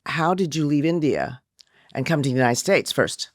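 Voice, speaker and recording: A woman's voice: low-pitched, animated, normal speed, no echo, studio quality. A woman journalist conducting a conversational interview, posing questions and reflecting on the answers.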